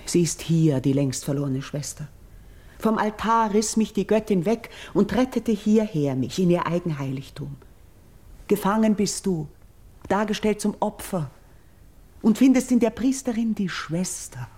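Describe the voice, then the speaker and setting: An actress playing a noble priestess and tender, pleading sister in a classical verse radio drama, solemn and breathy, in measured declamation. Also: female voice, medium pitch, animated, dark tone. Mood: urgent, imploring.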